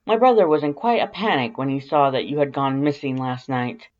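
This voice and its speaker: A narrator voicing a tall, earnest male skeleton in an audiobook-style story, speaking loudly and urgently. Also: medium pitch, brisk, lo-fi sound, voice pushed up, natural voice. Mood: urgent, scolding. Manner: loud, emphatic, urgent.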